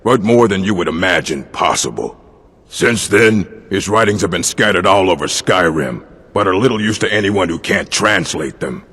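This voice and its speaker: Gravelly old orc librarian. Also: male voice, deep voice, animated, moderate pace, a bit bright, exaggerated voice. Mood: matter-of-fact. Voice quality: gravelly, husky. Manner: dry, weary, curt. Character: gruff older male orc librarian, dry and guarded. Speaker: game character. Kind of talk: video game voice acting. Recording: long hall reverb.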